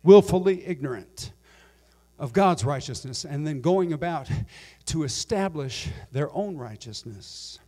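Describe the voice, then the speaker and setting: A middle-aged American man preaching a Pentecostal sermon in a church sanctuary on a handheld mic, his delivery swelling from quiet scripture reading to near-sung, shouted appeals. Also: a little high, animated, measured pace. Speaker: pastor. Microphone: handheld microphone.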